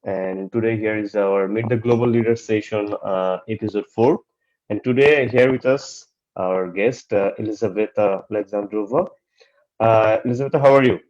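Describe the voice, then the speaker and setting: A man's voice: fairly low pitch, normal speed, a little mellow. A man from Bangladesh, hosting an online interview, hesitant and full of "you know, like". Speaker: host. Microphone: video call. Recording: dull lo-fi call audio.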